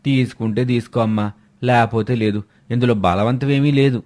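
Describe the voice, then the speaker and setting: Man acting a grumbling village milk seller, medium pitch. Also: steady tone, moderate pace, a little mellow, close to an everyday voice. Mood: curt. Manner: defensive, complaining. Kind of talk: acted dialogue in a moral fairy tale.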